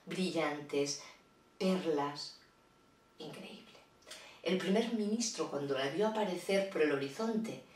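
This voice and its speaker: A woman telling a fairy tale to children, in a lively, expressive way with chatty asides. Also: on the low side, far from the mic, slight background noise. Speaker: storyteller. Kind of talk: children's storytelling. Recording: roomy echo, voice off-mic.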